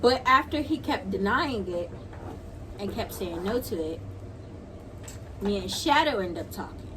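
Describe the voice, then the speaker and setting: A young adult woman in casual storytime chat, slangy and halting, repeating phrases for emphasis. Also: mid-range voice, lively intonation. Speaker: video creator, guest co-storyteller. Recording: clearly noisy home video recording.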